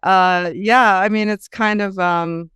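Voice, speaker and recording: Clear-voiced woman in casual interview chat. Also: medium pitch, steady tone, slow pace, fairly bright tone, no room sound. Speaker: guest author.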